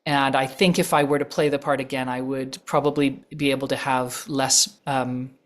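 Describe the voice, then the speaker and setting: A woman with a low voice giving thoughtful, measured answers as an actress guest in an interview, pausing often. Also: little pitch movement. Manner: thoughtful, measured, with frequent pauses and fillers.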